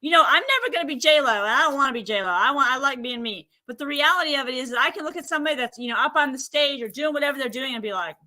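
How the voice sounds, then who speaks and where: A woman guest in a casual talk-show chat, speaking in a lively way and acting out what others say. Her voice is high and bright, with a sharp edge to it. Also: rapid-fire, hardly any pauses. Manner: animated, acts out quoted speech.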